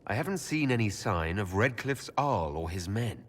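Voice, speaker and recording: A man's voice: fairly low pitch, very animated, moderate pace. An actor playing a wary, direct young envoy, firm and diplomatic in his questioning. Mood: probing. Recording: long hall reverb.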